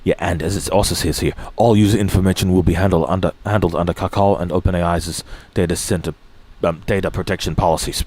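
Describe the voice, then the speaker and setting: A man with a somewhat low voice reads articles aloud for his stream. He stumbles, restarts phrases and clears his throat often. Now and then he drops a dry, offhand comment between quotes. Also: fairly even intonation, fast, few pauses, a little mellow. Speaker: streamer reading news aloud. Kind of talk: reading articles aloud with asides.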